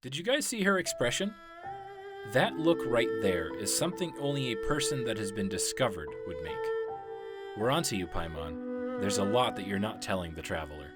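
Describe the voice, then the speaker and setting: A man's voice: lowish voice, big pitch swings, normal speed. A man delivering scripted explanatory narration as a video essayist on game lore. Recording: voice-over with prominent music. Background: music.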